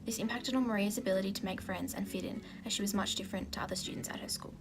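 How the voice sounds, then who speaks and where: A young woman, a university student, reading a scripted analysis in a brisk, matter-of-fact way. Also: mid-range voice, steady tone. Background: background music.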